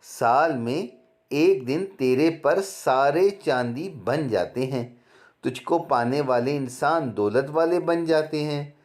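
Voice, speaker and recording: A man as a slow-spoken naive young fellow. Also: fairly high pitch, a little mellow. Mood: insistent. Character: naive, poor young man, stubborn and hopeful.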